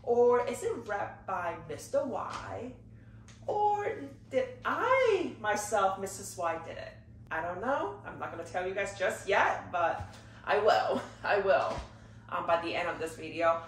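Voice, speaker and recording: A woman's voice: mid-range voice, big pitch swings, unhurried, a little hiss or hum. Congested woman chatting in an echoey room. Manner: chatty, frequent "like" and "you know" fillers. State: congested from a cold. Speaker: luxury unboxing vlogger. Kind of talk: casual chat to viewers. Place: living room, seated behind gift boxes. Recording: echoey room, slightly distant mic.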